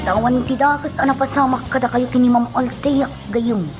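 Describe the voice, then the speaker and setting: Chatty, teasing woman played by an actress, highish voice. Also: steady tone, moderate pace, over music, natural voice. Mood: concerned. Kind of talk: Ilocano radio drama acting.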